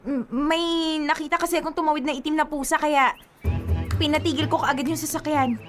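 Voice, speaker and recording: Young Filipina girlfriend for radio drama, played by an actress: high, girlish, stubborn, pleading, over music. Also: fairly even intonation, brisk, close to an everyday voice. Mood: anxious insistence. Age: young adult. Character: superstitious, stubborn young girlfriend, sweet but anxious. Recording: music bed under the voice.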